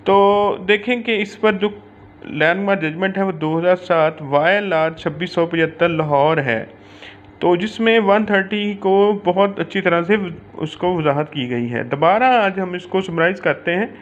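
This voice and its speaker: A man's voice: high-pitched, unhurried. A man with a Pakistani accent giving an informal explanatory law lecture, dropping in English legal terms and frequent filler phrases. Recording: dull lo-fi audio, clear background noise.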